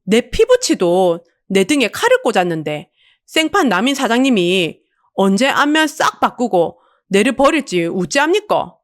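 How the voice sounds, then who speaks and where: A woman voicing a wounded, distrustful street vendor with a Busan dialect and an anguished, high-strung manner in an audio drama. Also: big pitch swings, normal speed, lightly acted. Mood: fearful, desperate. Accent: Busan Gyeongsang dialect.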